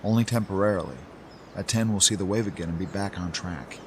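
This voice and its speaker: A man playing a measured, pedantic inventor in an amateur radio drama, explaining things precisely. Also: sits low, a little hiss or hum. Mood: matter-of-fact.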